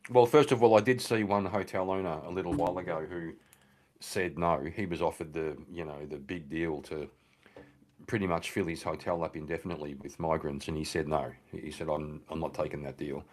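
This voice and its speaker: A man speaking as a guest in a casual panel discussion, measured and hesitant with frequent ums. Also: a bass voice, steady tone, darkish tone.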